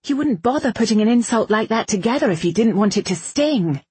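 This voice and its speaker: A woman's voice: a little high, expressive, a little fast, a little mellow, husky, dry recording, voice pushed up. A woman narrator voicing a wounded, stubborn young woman who speaks bluntly and with weary, sardonic insistence. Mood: blunt, insistent.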